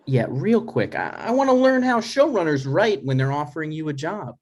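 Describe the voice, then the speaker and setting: A man playing a skeptical grown son with a dry, halting delivery in a conversational comedy table read. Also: on the high side, very animated, a little mellow.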